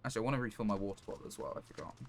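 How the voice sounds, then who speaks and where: A man's voice: fairly low pitch, animated, racing. A man live-streaming a game, thinking aloud in a casual, rambling and understated way. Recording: clear background noise, clicks.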